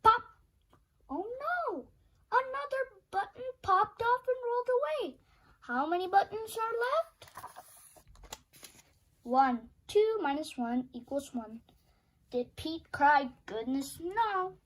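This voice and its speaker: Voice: very high voice, lively intonation, slow pace, long pauses, a bit bright, poor audio. A young reader playfully reading a children's picture book aloud and putting questions to the listener. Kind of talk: children's storybook read-aloud with sung refrains.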